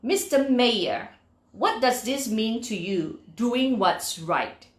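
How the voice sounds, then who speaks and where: A woman's voice: on the high side, animated. A woman with a Malaysian accent voicing a curious, polite young boy tapir asking questions in a storybook. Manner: slow, questioning. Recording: roomy, echoing sound.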